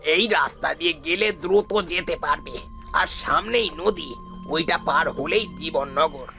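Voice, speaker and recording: A man playing an eerie old ox-cart driver who gives cautioning warnings in an animated horror story. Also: on the high side, measured pace, over music. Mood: ominous warning. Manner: ominous, cautioning.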